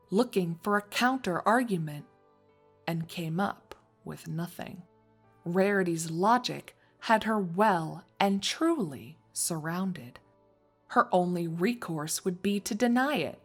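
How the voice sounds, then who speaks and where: A woman reading scripted story narration as the narrator of a fan fiction audio drama. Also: on the low side.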